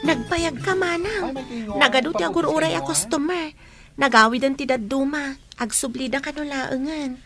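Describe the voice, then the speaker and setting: Very high-pitched chattering parlor worker played by an actress. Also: female voice, moderate pace, fairly bright tone, soft background music. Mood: chatty, concerned. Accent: Ilocano. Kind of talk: Ilocano radio comedy drama acting.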